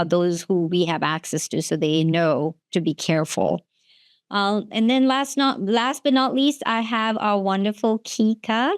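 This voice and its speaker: A woman co-hosting a podcast over a video call, speaking hesitantly with frequent pauses. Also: lowish voice, smooth, no echo, studio quality. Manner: hesitant, many ums and uhs. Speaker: podcast co-host.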